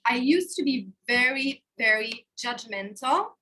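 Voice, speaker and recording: Bright-voiced woman coach in her thirties. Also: fairly high pitch, a little slow. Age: 30s. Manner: expressive, open and personal. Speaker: life coach. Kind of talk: live online workshop talk. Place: hotel room.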